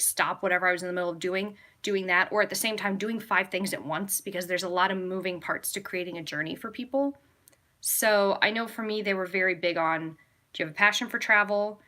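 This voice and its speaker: A woman's voice: medium pitch, steady tone, a little fast, sharp tone. A young adult American woman chatting casually and enthusiastically to viewers as a travel vlogger, in a rambling way. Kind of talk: casual live Q&A chat to viewers.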